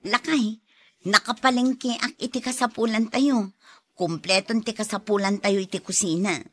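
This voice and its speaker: A woman's voice: mid-range voice, fairly even intonation, normal speed, a bit bright, natural voice. An actress playing a worn-down young wife and mother who pleads, in a Filipino radio drama with a Filipino accent. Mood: weary, pleading.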